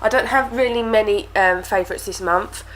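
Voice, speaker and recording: A woman's voice: medium pitch, normal speed, fairly bright tone, slight background noise, low-quality recording. A young woman reviewing beauty products to camera, talking casually and sounding stuffy from a cold. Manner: chatty, with lots of fillers and repeated words. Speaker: beauty vlogger.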